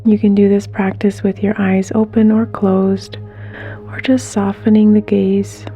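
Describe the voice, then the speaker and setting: Slow, mellow woman guiding meditation. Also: mid-range voice, level tone, soft background music. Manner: gentle, soothing, unhurried pauses. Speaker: guided meditation instructor. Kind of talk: guided meditation.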